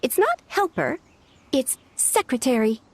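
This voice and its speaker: A woman's voice: high-pitched, very animated, a little slow, a little hiss or hum. A woman playing a polite, precise young secretary in a video game, with a formal and courteous manner. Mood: businesslike. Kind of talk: video game cutscene acting.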